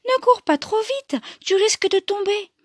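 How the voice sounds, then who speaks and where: A woman voicing a mother bear from a children's storybook in a mild, gentle manner. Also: extremely high pitch, animated, measured pace, dry recording, studio quality, voice pushed up. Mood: gently cautioning. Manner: soft, mild. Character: gentle medium-sized mother bear, adult female.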